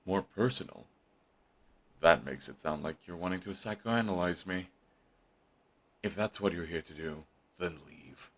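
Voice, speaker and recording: Deep, husky, snarky villain voiced by a man for an audio roleplay; dry and dismissive. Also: a little slow, long pauses. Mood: irritated. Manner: sarcastic, dismissive. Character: snarky, grumpy male supervillain, middle-aged. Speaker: voice actor.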